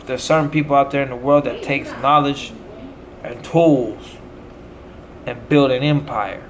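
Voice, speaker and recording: A man's voice: mid-range voice, fairly even intonation, a little slow, slight background noise, poor audio. An American man delivering a rambling monologue in short, declamatory, preacher-like phrases. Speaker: self-promoting musician.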